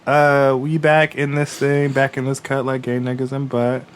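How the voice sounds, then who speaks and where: Hoarse guy getting over a cold, campy brash jokes, cohosting a chatty comedy pod. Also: mid-range voice, completely flat delivery, normal speed, very noisy. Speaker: podcast co-host. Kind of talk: casual comedic chat.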